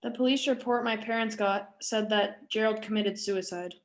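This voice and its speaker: A woman's voice: medium pitch, steady tone, moderate pace, lightly acted. A woman playing an injured, wary teenage boy in a table read of a drama script. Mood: grave.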